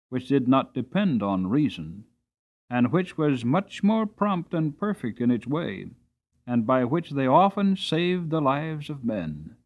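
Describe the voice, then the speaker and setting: A man reads a storybook as a dramatic narrator. His delivery is expressive and shifts in pace, at an average pitch. The recording is slightly dull. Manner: expressive, with varied pacing. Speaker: audiobook narrator. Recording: slightly dull, band-limited audio.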